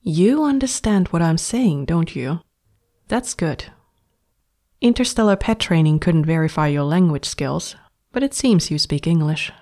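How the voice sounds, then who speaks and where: A woman's voice: sits low, expressive, a little slow, a little mellow, exaggerated voice. A woman voicing a cheerful, bossy young woman who talks sweetly and patronizingly to her new pet. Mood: bright, condescending.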